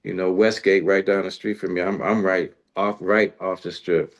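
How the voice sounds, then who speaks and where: Male music host, lower register, loose rambling delivery, colloquial and slangy. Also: fairly even intonation, moderate pace, lo-fi sound. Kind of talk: casual interview chat.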